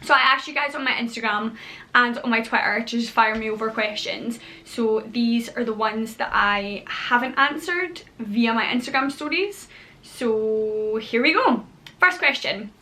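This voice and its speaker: A chatty Scottish woman in her thirties talks straight to camera in a casual vlog. Her voice sits a little high and sounds bright and forceful. She is bubbly and drops playful whispered asides. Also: measured pace. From Scotland. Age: 30s. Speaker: vlogger.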